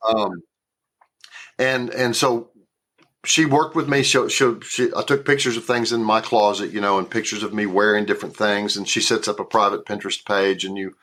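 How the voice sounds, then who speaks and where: Southern guy in his 60s chatting, kinda low voice, keeps restarting his sentences. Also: fairly even intonation, normal speed, pushed and bright. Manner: rambling, repeats words and restarts often. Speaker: actor guest. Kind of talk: casual interview talk.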